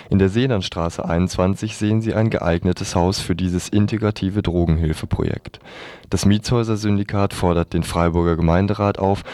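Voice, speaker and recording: Low, dark-voiced male radio presenter. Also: no room sound. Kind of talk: scripted radio report.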